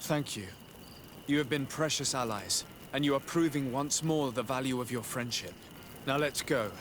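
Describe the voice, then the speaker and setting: An earnest young nobleman hero played by a male actor in a video game. The mid-range voice is formal and resolute, over clear rumbling outdoor noise. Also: moderate pace, a bit bright, close to an everyday voice. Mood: earnest resolve.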